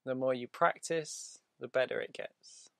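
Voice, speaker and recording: Slow, gravelly man guiding a meditation. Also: mid-range voice, a bit bright, dry recording, clean studio sound. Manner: soothing, deliberate, with drawn-out pauses. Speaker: meditation guide. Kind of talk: guided meditation.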